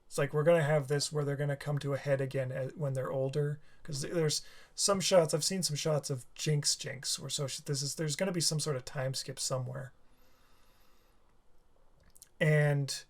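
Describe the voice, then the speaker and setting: A tired man with a slightly sore throat, talking through a reaction video in a halting, rambling way with many restarts. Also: fairly high pitch, fairly even intonation. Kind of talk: casual rambling commentary.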